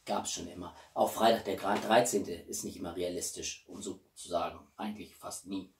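A man's voice: low-pitched, steady tone, moderate pace, creaky. Guy in his 40s doing a casual vlog, opinionated and emphatic, echoey room, mic a bit far off. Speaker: YouTube film reviewer and channel host. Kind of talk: casual chat to camera about a film collection. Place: small room at home, indoors. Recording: roomy, distant microphone.

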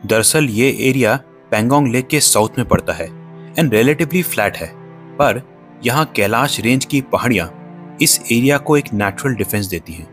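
A man's voice: mid-range voice, moderate pace, soft background music. A man with an Indian accent narrating a road-trip travel video in scripted voice-over, moving between Hindi and English. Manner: mixes Hindi and English, steady storytelling. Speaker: travel vlogger.

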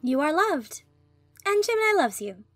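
A woman's voice: sits high, very animated, moderate pace, a bit bright, dry recording, faint music behind. A woman audio roleplay creator talking casually to her followers in a channel sign-off.

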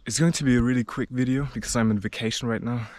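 A man's voice: mid-range voice, steady tone, fast talker, darkish tone. A young man in his twenties with a German accent, talking casually and a bit out of breath to a handheld camera while climbing a mountain trail, rambling with lots of fillers and swearing. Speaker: travel vlogger. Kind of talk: casual vlog talk while hiking. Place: outdoors on a rocky mountain trail. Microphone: handheld camera at arm's length. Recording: outdoor camera audio with clear background noise.

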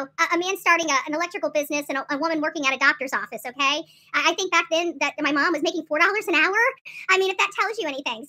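A woman's voice: very high-pitched, sharp tone. A woman in her forties telling stories casually to camera, emphatic and repetitive, often tagging sentences with little check-in questions. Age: 40s. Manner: emphatic, repeats herself, often checks with "okay?" and "right?". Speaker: vlogger. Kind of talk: animated casual storytelling to camera. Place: small indoor room.

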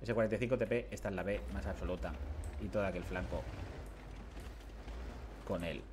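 A man's voice: low-pitched, fairly quick, noisy background. A man, commenting casually on his game through a headset mic, chatty and self-mocking. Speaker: game streamer. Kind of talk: casual live commentary on gameplay.

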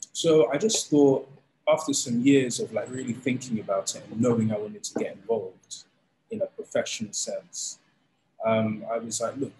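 A man, telling his story in a relaxed, animated way on a video call interview. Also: lowish voice, a little hiss or hum, low-quality recording. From England. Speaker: founder of a fighting league, guest. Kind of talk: casual interview. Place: indoors, plain room. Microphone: studio mic on arm, video call.

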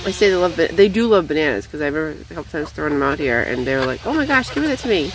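A woman's voice: sing-song, very fast, music in the background, rough recording. Chatty young woman vlogging outdoors. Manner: chatty, rambling, coos in a high voice at the chickens. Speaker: vlogger feeding her backyard chickens. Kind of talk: casual off-the-cuff vlog chat. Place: outdoor chicken run, backyard.

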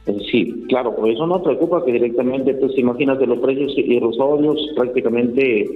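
A Peruvian rice farmer speaking in a phone interview, hesitant, with many fillers and restarts. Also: male voice, medium pitch, moderate pace, faint music behind. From Peru. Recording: echoey tinny phone line.

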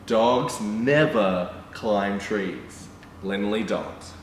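A young man reading a picture book aloud to children as a storytime presenter, in an animated, exclaiming manner. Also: on the low side, very slow. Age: young adult. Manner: animated, exclaiming, with excited gasps and asides. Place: indoor room with painted backdrop. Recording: roomy, distant mic, background noise.

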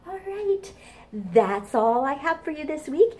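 A woman's voice: sits high, big pitch swings, a little slow, a little mellow. A middle-aged woman presenting a preschool storytime as a children's librarian, talking simply and encouragingly to children and their parents. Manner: simple, encouraging talk aimed at small children. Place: home kitchen, indoors. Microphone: camera a short distance away.

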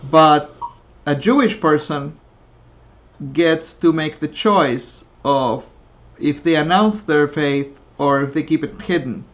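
A woman who grew up speaking Russian, hosting an interview over a video call in a measured, reflective way. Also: low voice. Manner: measured, reflective, thinks in analogies. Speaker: host. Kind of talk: interview conversation. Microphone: webcam on a video call. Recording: dull lo-fi video call audio.

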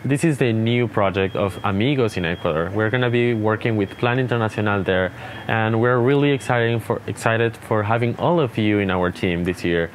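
A young man from Uruguay introducing himself as project director, friendly and halting, speaking outdoors into a lapel mic. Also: lowish voice, slight background noise. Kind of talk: short staff introduction to camera. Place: outdoors beside a house, wooded yard.

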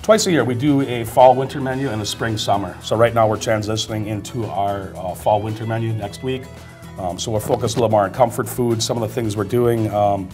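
Middle-aged chef with an Upper Midwest accent. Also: male voice, lowish voice, darkish tone. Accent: Upper Midwest American. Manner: plain, unhurried, many ums and uhs. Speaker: head chef. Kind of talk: cooking demonstration. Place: indoor studio kitchen set.

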